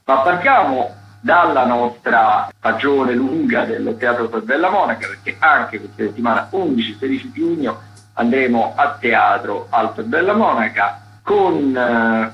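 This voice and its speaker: A man presents culture listings on the radio in a chatty, halting way, digressing and correcting himself. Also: mid-range voice, lo-fi sound. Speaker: radio culture critic presenting a theatre agenda. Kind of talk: chatty live listings commentary.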